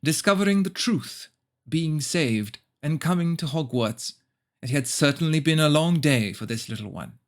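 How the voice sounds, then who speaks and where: A man's voice: a little high, animated, normal speed. A man voicing a prim, pious, self-satisfied mother who narrates her story in a preachy, cloying way. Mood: earnest, preachy.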